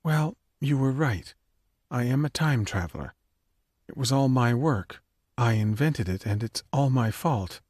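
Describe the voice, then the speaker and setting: A man's voice: medium pitch, expressive, unhurried, darkish tone, no echo, voice pushed up. A man reading an audiobook, voicing a serious, earnest young woman time traveler. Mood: resigned. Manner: earnest, matter-of-fact, close to tears at times. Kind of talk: audiobook character voice.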